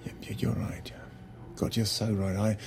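An earnest, middle-aged husband in an audio drama, trying hard at romance. His voice has some creak and sounds halting and pleading, with music clearly behind it. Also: on the low side, little pitch movement, whispered. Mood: embarrassed. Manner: halting, self-deprecating, pleading. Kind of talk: naturalistic audio drama acting.

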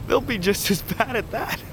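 An actor in an audio drama playing a bitter, sardonic young exiled brother of royal blood. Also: male voice, very high voice, noisy background, exaggerated voice. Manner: sardonic, wry. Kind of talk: audio fiction drama acting.

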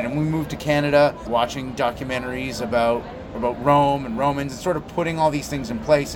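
Relaxed male guest amid convention noise. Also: medium pitch. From Belgium. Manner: relaxed, storytelling, many "like"s. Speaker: comic writer guest. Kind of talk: casual interview answers.

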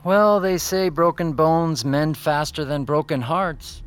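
An actor playing a menacing, falsely polite kidnapper in an audio drama, courteous and coolly amused. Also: male voice, a little high, fairly even intonation, slow pace, smooth. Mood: falsely friendly. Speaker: villain. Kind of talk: scripted audio drama acting. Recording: music bed under the voice. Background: music.

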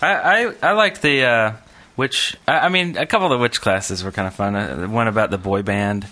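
A man's voice: lowish voice, a little fast, bright, forceful tone. A man co-hosting a podcast in loose, casual chat. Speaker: podcast co-host.

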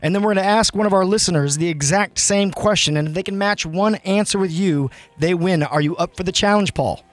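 A man co-hosting a radio show, running a quiz game with energetic banter. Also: high-pitched, brisk, no echo.

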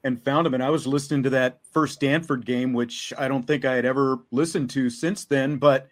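A man's voice: mid-range voice, fairly even intonation, a little fast. A man hosting a sports talk show, interviewing conversationally and recapping games, rambling a little and repeating words mid-phrase.

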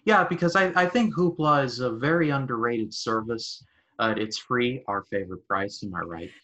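A man's voice: medium pitch, moderate pace, sharp tone, muffled recording. A man in his twenties co-hosting a podcast, chatting casually with rambling asides and corny jokes. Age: 20s. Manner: rambling, jokey, self-deprecating puns. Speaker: podcast co-host. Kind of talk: casual chat.

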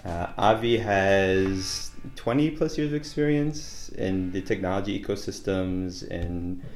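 A man's voice: deep voice, measured pace, soft background music. A man hosting a podcast, introducing his guests. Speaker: podcast host. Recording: echoey room.

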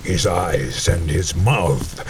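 An actor playing a slow, menacing brute in a radio drama, talking low and deliberately. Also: male voice, heavy background noise. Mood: gruff. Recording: distant voice over music, rain.

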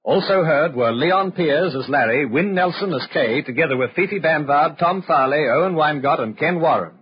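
A formal male announcer from old-time radio. His voice is very husky and a little high, delivering scripted credits on a dull, muffled vintage recording. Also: expressive, moderate pace.

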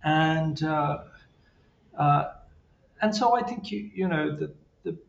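A man's voice: on the high side, slow pace, vocal fry. A man who grew up in Switzerland, a psychotherapist guest, speaking hesitantly with many fillers and restarts. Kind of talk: interview conversation. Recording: dull lo-fi roomy sound.

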